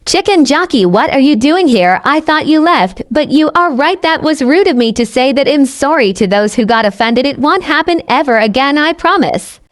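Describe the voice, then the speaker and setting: A woman's voice: high voice, animated, normal speed, few pauses. A woman giving playful, teasing commentary and reactions.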